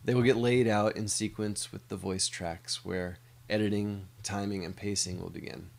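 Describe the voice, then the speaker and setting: A man's voice: fairly low pitch, steady tone, moderate pace, gravelly. A middle-aged American man, an animator, giving a scripted presentation of a project.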